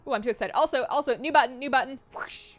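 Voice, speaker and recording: A young woman streaming a game live, chatting excitedly and reading her chat aloud. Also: sits high, fast talker, faint music behind.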